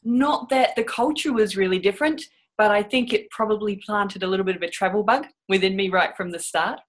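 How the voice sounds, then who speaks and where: A middle-aged woman tells her own story as a podcast guest. She speaks in a reflective, candid way with a wry edge, at a middle pitch. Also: a little fast. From New Zealand and Australia. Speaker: interview guest.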